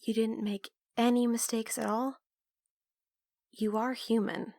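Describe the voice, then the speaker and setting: A woman playing a sardonic, self-assured young team mastermind in an audio roleplay, with a dry, measured delivery. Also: a little high, little pitch movement, darkish tone, dry recording. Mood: probing, wary.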